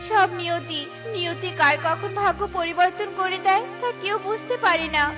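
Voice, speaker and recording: A very high-pitched, gentle mother bird from a fairy-tale cartoon , acted by a woman. She is honest and patient, with a soft, pleading, moralising manner. Music plays clearly behind her. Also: normal speed. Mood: gentle, reassuring.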